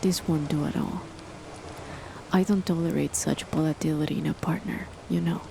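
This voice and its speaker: A woman voicing a cold, controlling female chemist spouse in a soft, measured, often whispered ASMR horror roleplay, her tone condescending. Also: deep voice, vocal fry. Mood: menacing. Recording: noisy with rain behind. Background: steady rain.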